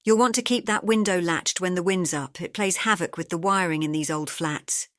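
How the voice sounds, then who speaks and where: Brisk, watchful landlady, played by a woman. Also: lowish voice, close to an everyday voice. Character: brisk, watchful English landlady of an old block. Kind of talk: audio drama acting.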